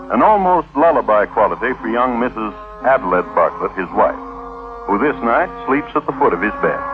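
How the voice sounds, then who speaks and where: A wry, morbid crime-story host narrates deliberately with deadpan wit and a lively melodic range. The sound is thin, narrow old radio with music underneath. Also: male voice, on the low side. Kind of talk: 1950s radio scripted narration. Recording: tinny vintage 1950s radio broadcast.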